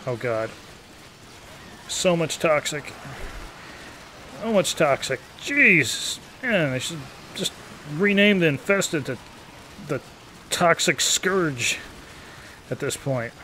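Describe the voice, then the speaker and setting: A man's voice: on the high side, expressive, takes it slowly, pauses often, sharp tone, slight background noise. A man in his thirties giving casual, rambling, self-deprecating live gameplay commentary, often wandering off on tangents. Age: 30s. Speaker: gaming video commentator.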